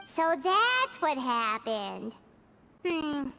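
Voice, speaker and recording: A woman voicing a tiny, childlike, excitable and naive companion creature in a game, with a squeaky, exclamatory delivery. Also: drawn-out words, creaky, noisy background, heavily acted. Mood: indignant, eager. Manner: exclamatory, refers to self in third person. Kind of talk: game voice acting. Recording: loud game music behind.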